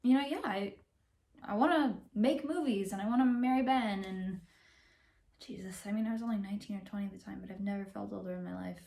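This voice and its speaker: A young woman playing a wistful, candid actress confiding in her divorce lawyer in a halting, rambling dramatic monologue. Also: mid-range voice, creaky, dark tone, lightly acted. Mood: hesitant, confessional. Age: young adult. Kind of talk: naturalistic dramatic film monologue.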